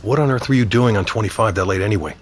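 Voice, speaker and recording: A middle-aged man playing a gruff, wry father with authority, questioning pointedly in an audio drama. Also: lowish voice, very fast, natural voice. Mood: probing, gruff. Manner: wry, probing. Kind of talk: naturalistic audio drama acting.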